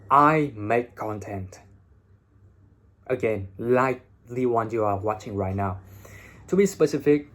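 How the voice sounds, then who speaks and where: A young man from Vietnam with a Vietnamese accent and a slightly husky voice, giving blunt, informal English-learning advice and swearing freely, recorded on a phone. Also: mid-range voice. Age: young adult. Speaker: English teacher and video host. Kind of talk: casual advice talk to camera. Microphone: phone, no separate microphone.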